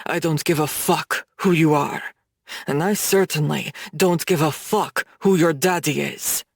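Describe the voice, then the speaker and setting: A woman voicing a cold, threatening male crime boss in an audiobook, speaking in a low, icy hiss. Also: unhurried, gravelly, no echo, studio quality, full character voice. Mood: menacing. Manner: low, icy, hissing delivery.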